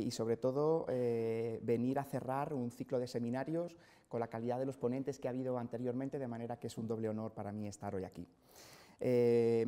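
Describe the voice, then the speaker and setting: A middle-aged man from central Spain delivers a careful medical lecture, steady and explanatory, filling pauses with soft hesitations. His voice is mellow and dark. A long reverb from the hospital auditorium trails behind every phrase. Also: mid-range voice. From Spain, Castilla-La Mancha. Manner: measured, explanatory, frequent "eh" fillers. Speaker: hematologist lecturer. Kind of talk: scientific seminar lecture.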